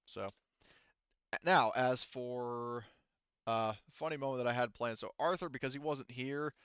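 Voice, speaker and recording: A man runs a tabletop game in a smooth, clean voice. He narrates off the cuff, rambling and backtracking in a casual way. The recording is dry and a little dull. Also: medium pitch, a bit bright, no echo. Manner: halting, rambling, self-correcting, casual. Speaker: game master.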